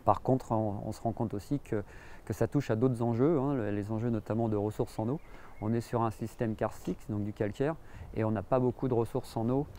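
A man's voice: fairly low pitch, fairly even intonation, brisk, dark tone, a little hiss or hum. A middle-aged man, giving an interview outdoors and searching hesitantly for his words. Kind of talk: documentary interview. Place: outdoors on a mountain terrace.

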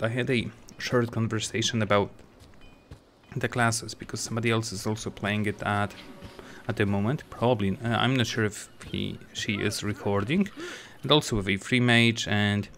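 A man's voice: medium pitch, a bit bright, a little hiss or hum. A man giving casual commentary while playing a game, hesitant, with many fillers and restarts. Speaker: let's play host.

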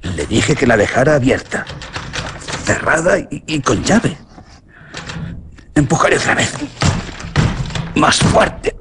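Horrified doctor, wildly swooping voice. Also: male voice, medium pitch, very animated, background noise. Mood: uneasy, hesitant. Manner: halting, stammering, dramatic. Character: shaken male doctor discovering a dead patient, horrified. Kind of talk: Spanish radio horror drama acting.

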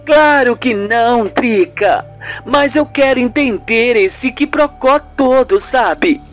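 A woman acts out a protective, easily confused aunt in a radio soap. The voice is high and exclamatory, unhurried, over background music. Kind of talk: amateur radio soap acting.

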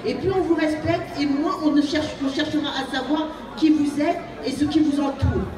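A woman's voice: high-pitched, fairly even intonation, moderate pace. A woman from France giving an earnest, exhorting speech to an audience in a banquet hall, repeating her phrases for emphasis. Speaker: guest speaker. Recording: echoey hall, distant mic, crowd noise.

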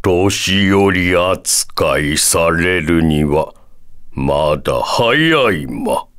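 Very deep, slow old sage. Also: male voice, lively intonation, a bit bright, smooth, heavily acted. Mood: calm, kindly. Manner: measured, ends sentences with a quirky verbal tic. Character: wise, proud elderly bear-like sage, male, calm. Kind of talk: video game character voice acting.

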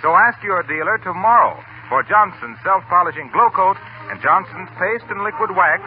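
An American man delivering a polished, scripted sales pitch as a 1940s radio announcer. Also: lively intonation, moderate pace, soft background music. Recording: tinny 1940s radio broadcast, faint noise.

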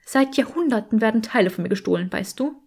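A woman's voice: a little high, animated, quick pace, a little mellow, husky. A woman voicing the flirtatious, chatty skull of a long-dead female saint in an audiobook, trilling and cooing theatrically. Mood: chatty, grand. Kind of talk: audiobook character acting.

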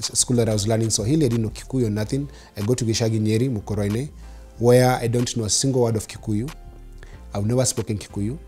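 A Kenyan man in his thirties, telling his childhood story in an interview, mixing in Swahili, animated. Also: mid-range voice. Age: 30s. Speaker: interview guest. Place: living room studio set, seated in armchair.

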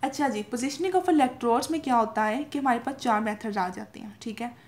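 A woman's voice: a little high, moderate pace. A young woman giving an informal lecture from notes, repeating points with frequent checking tags and mixing in English technical terms. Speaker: medical lecturer.

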